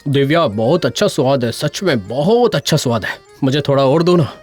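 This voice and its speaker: A man voicing a kind, supportive village husband in an animated story, easily impressed. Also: medium pitch, normal speed, faint music behind, natural voice. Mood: pleased. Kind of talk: animated cartoon dubbing.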